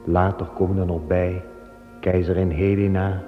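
Deep-voiced male radio play narrator. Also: flat delivery, moderate pace. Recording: dull old radio recording with long reverb and music.

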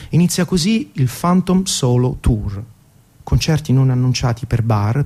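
A man in his thirties reading a scripted, literary radio narration in a measured, poetic way. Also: mid-range voice, dark tone, husky. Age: 30s. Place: radio broadcast.

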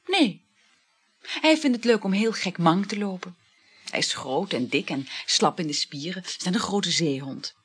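A woman's voice: on the low side, animated, measured pace, breathy edge. An actress in a radio play, playing a flirtatious, gushing woman who juggles several lovers and chats effusively with sighing exclamations. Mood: chatty, pleading. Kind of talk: naturalistic radio play acting.

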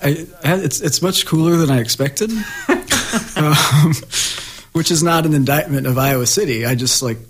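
A young man, a musician guest on a radio show in a studio, talking casually and haltingly with repeated words. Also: a little high.

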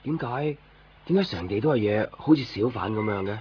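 An actor playing a brooding, gentle young man who narrates his lost love introspectively in a Cantonese radio drama. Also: lowish voice, animated, brisk, a little hiss or hum, natural voice. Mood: puzzled, subdued. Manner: wistful, introspective. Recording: dull 1990s radio recording, music behind. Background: music with guitar.

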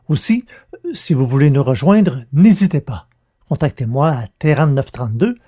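A man's voice: a little high, dark tone. A man narrating an amateur audio drama and reading the credits and announcements.

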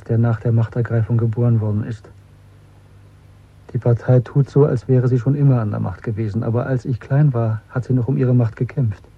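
A man's voice: mid-range voice, husky. An actor playing a bitter, haunted male dissident in a German radio drama, speaking earnestly and insistently. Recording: muffled, narrow phone-like sound.

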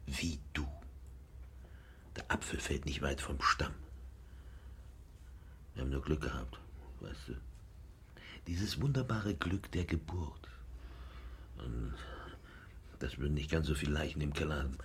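Very deep-voiced, cynical drinker. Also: male voice, lively intonation, unhurried, long pauses, fairly bright tone, slight background noise, full character voice. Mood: mocking, tipsy. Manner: sardonic, theatrical, drawling mockery. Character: cynical, self-loathing alcoholic twin brother, sardonic and mocking. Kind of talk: radio drama dialogue.

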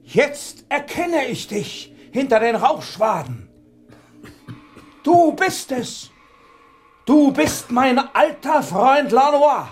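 Earnest nobleman: formal radio drama delivery, high-pitched and unhurried, music behind. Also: sing-song, heavily acted. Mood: earnest, moved. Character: composed nobleman questioning his dead friend's ghost. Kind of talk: theatrical German radio drama acting.

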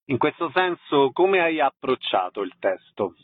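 A man's voice: mid-range voice, sing-song, unhurried. A man hosting a radio interview, giving concise announcements and putting short questions to a guest. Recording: dry, narrow phone-like sound.

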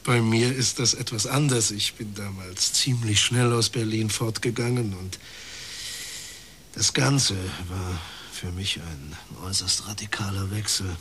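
Slow, low-voiced courteous priest. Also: male voice, steady tone, a bit bright, a little hiss or hum. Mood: deliberate, reminiscing. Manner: slow, deliberate, formal. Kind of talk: radio crime drama acting.

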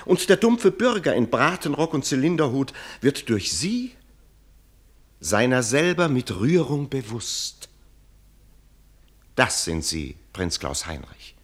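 An actor in a German radio play as a prince's grandiloquent mentor, lofty and declamatory. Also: male voice, medium pitch, big pitch swings, slow, breathy edge, heavily acted. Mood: exalted.

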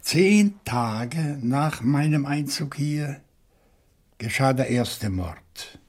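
An actor playing a grave, probing newcomer under suspicion in a radio crime play, speaking deliberately and with formal politeness. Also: male voice, medium pitch, expressive, darkish tone. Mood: grave, insistent.

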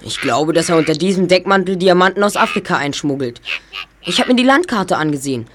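A woman's voice: on the low side, expressive, a little fast, noisy background, close to an everyday voice. Woman playing a clever, self-assured boy detective. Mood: confident, deducing. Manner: precise, explanatory. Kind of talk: German audio drama acting.

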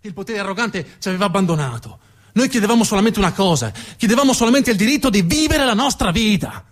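A man playing a weary, embittered working-class war veteran in a theatrical monologue, halting and emphatic, with indignant repetitions. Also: high-pitched, lively intonation, pitched above the performer's own voice. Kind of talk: theatrical first-person monologue. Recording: music behind the voice.

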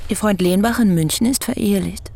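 A young woman acting in a radio drama, playing a self-assured newspaper writer who questions calmly and persistently. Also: mid-range voice, fairly quick, creaky, slight background noise, close to an everyday voice. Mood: calm, probing. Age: young adult.

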